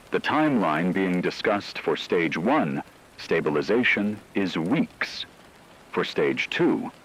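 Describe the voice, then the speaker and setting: A man reading a scripted emergency broadcast in a grave, measured way, breaking it into short phrases. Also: low-pitched, fairly bright tone, slight background noise, rough recording. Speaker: radio broadcast narrator. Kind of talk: scripted narration, emergency news-broadcast style.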